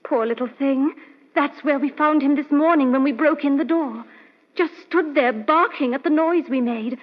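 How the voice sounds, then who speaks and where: A woman's voice: sits high, normal speed, lightly acted. A woman playing a flustered, earnest young lady's companion in an old-time radio mystery, pleading and chatty in a genteel way. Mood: anxious, explaining. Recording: dull, muffled old radio recording.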